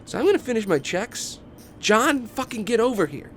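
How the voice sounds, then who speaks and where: Casting: man performing exasperated male engineer, sarcastic and condescending, pitched high with lively swings, amateur table read. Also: normal speed. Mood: grim, impatient. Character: Gordon, irritable, impatient, sarcastic male engineer. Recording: noticeable background noise.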